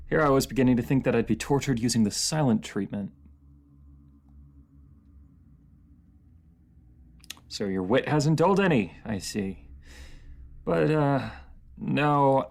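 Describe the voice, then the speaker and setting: A man playing a proud, bitter captive ruler in a fantasy audio drama, speaking in formal, haughty, taunting phrases with a gravelly voice. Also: medium pitch. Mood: sardonic, weary. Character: proud captive enemy ruler, sardonic, bitter, secretly admiring.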